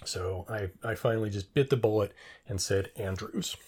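Rambling middle-aged man with a husky voice. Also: fairly low pitch, moderate pace. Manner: rambling, thinking out loud. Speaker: podcast co-host. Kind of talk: casual trivia discussion.

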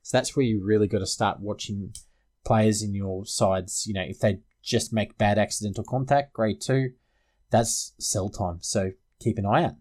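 A man's voice: lowish voice, fairly even intonation, moderate pace, darkish tone. An Australian man co-hosting a sports podcast in an informal, conversational style. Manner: informal, conversational, addresses co-hosts as guys. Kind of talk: casual fantasy rugby league chat.

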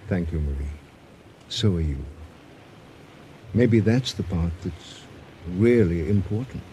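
An actor playing a kindly, gently awkward male teacher in a radio drama, earnest and hesitant. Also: deep voice, expressive, slow pace. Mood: tender. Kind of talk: 1980s radio drama acting. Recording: noisy vintage radio drama recording.

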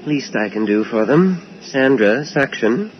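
A man acting as a sinister dentist in a radio suspense play. He is callous and genteel, soothing in a condescending way while sounding quietly menacing. The sound is dull, with faint noise behind it. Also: mid-range voice, animated, measured pace, close to an everyday voice. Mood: calm, patronising. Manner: genteel, matter-of-fact menace with condescending reassurance. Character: sinister, smoothly callous male dentist and mad scientist. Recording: dull, noisy old radio drama recording.

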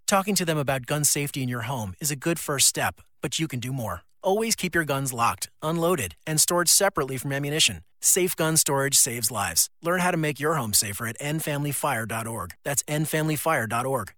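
A man reading a public service announcement in a calm, earnest, scripted way. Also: medium pitch, a little fast, hardly any pauses, no echo, clean studio sound. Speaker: ad narrator.

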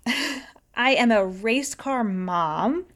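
A woman's voice: on the high side, lively intonation, drawn-out words, fairly bright tone. A woman co-hosting a podcast, talking in a chatty, upbeat way and laughing often. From United States. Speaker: podcast co-host. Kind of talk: casual podcast chat.

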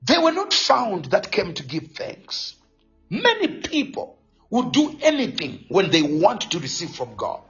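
A man's voice: high-pitched, huge pitch swings, unhurried, fairly bright tone. A man preaching a charismatic sermon in a declamatory, repetitive, exhorting manner, stretching key phrases. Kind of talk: charismatic Pentecostal sermon with bursts of tongues. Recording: dull lo-fi sound, faint music behind.

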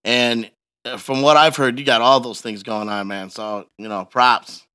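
A man's voice: medium pitch, steady tone, measured pace, sharp tone, clean tone. A man hosting a casual interview, talking in an emphatic, slangy way. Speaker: podcast host.

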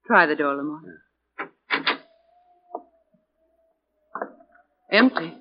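Anxious lady sidekick, vintage radio mystery. Raspy, theatrical, echoing lo-fi sound. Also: sits low, expressive, fast talker. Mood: concerned. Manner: questioning, nervous, theatrical. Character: anxious, loyal female companion of a sleuth. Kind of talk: 1940s radio mystery acting. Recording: muffled, reverberant old radio recording.

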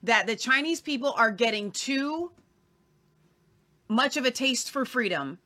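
A woman's voice: a little high, animated, measured pace, pushed and bright. A woman co-host talking in a casual, opinionated way, emphatic and full of repeated questions.

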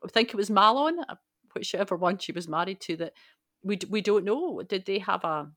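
A woman talks through ideas in a conversational panel discussion on faith. Her voice sits at a middle pitch and is recorded dry. She is emphatic, thinking aloud and posing questions as she goes. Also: lively intonation, fairly quick, fairly bright tone, no echo. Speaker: podcast panellist.

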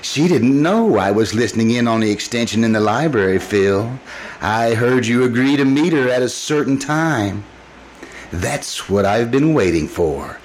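A man's voice: mid-range voice, expressive, moderate pace, slight background noise, lightly acted. An actor playing a scheming, jealous man feigning madness in old-time radio style, taunting and theatrical. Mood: accusing. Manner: theatrical, taunting, chuckling menace.

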